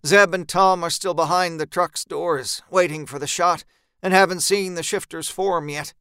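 A man reads scripted horror fiction in the first person, tense and dramatic. His voice is smooth and bright, recorded very dry, with deliberate phrasing. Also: sits high, steady tone, no echo. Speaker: story narrator.

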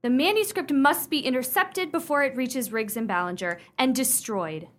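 A woman's voice: sits high, normal speed, a bit bright. A woman playing a prim, imperious young upper-class fiancée in a radio play, speaking sternly with precise, formal diction. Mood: commanding.

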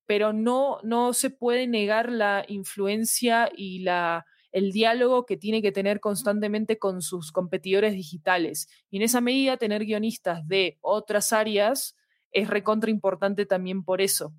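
A woman talks in a conversational interview, explaining her points clearly and with emphasis. Her pitch sits in the middle range, and the sound is dry with very little room. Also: fairly even intonation, unhurried, no echo. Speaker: interview guest, fiction podcast specialist. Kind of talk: conversational discussion.

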